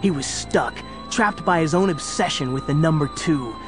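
An actor playing the dramatic storyteller of a superhero adventure, reading scripted narration. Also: male voice, on the high side, lively intonation, normal speed, over music, lightly acted.

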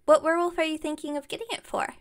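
A woman voices a bubbly young witch who runs a magic shop in an ASMR audio roleplay. Her voice is high with plenty of vocal fry. She rambles on eagerly and amiably, speaking straight to the listener as a customer. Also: flat delivery, very fast. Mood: excited, delighted. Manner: chatty, rambling, enthusiastic. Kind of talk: ASMR roleplay, one-sided conversation with listener.

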